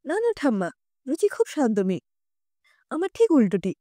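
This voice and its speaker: Casting: female reader as worried young granddaughter in an audio drama, earnest, high, very expressive pitch. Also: moderate pace, no echo, studio quality. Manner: pleading, earnest. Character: anxious, soft-hearted young granddaughter confiding in elders.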